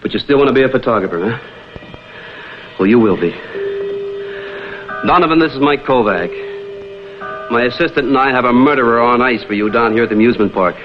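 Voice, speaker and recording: A man's voice: medium pitch, fairly quick, long pauses, background noise, natural voice. A man playing a cool, wry private investigator in a vintage TV crime drama, speaking in clipped, laconic lines. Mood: wry, assured. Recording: dull vintage TV soundtrack, loud music behind.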